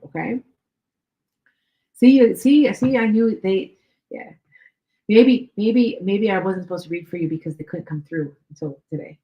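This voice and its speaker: A woman's voice: on the low side, muffled recording. A middle-aged woman with a Chicago and Wisconsin accent, a psychic medium giving live-stream readings to viewers. She talks chattily, repeats herself and often checks whether her words make sense. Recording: echoey room.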